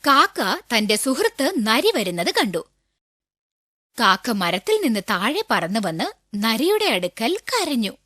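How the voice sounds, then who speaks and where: A woman's voice: a little high, big pitch swings, a little slow, bright, forceful tone, no room sound. A woman narrating scripted stories for children.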